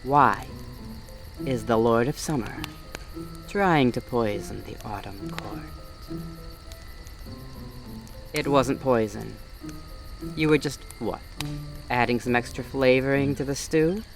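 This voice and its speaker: A wary male fae guard played by a man speaks straight to you in a fantasy audio roleplay. He is mid-pitched and unhurried, stern and wry with a soft heart underneath. Soft music sits behind his words. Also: vocal fry. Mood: suspicious, stern. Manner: accusing and wry, addresses listener directly. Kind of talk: roleplay audio drama addressed to the listener.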